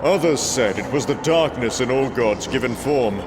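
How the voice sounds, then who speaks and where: A man's voice: mid-range voice, expressive, normal speed, noisy background, lightly acted. A man voicing a grave storyteller, narrating a mythic motion comic in a solemn, portentous manner. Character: grave male epic storyteller of gods and battles. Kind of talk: scripted epic narration. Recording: music behind the voice.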